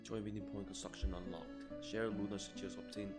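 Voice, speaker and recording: A man's voice: lowish voice, level tone, fairly quick. A man giving casual commentary as he plays through a video game. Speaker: game streamer. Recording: music behind the voice.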